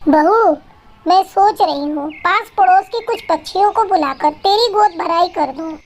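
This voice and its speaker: A woman's voice: very high voice, a little slow. A woman voicing a doting mother-in-law bird in a cartoon, motherly and insistent. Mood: eager, friendly. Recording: faint music behind.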